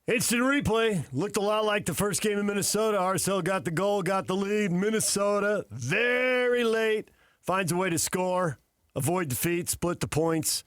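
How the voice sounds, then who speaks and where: A man's voice: high voice, lively intonation, moderate pace, a bit bright, clear, resonant tone, no echo, studio quality. A man with an American accent analysing sports conversationally as a radio host, explaining at length with emphasis. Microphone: close studio microphone.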